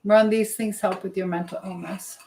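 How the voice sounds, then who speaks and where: A woman livestream host talks casually and chattily, as if to people she is greeting one by one. Her voice sits at an average pitch for a woman. The sound is roomy, with clear echo around her words. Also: fairly even intonation, moderate pace, a little mellow, slight background noise. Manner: chatty, greets viewers and reads out comments. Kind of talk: casual live chat. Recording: roomy, echoey indoor sound.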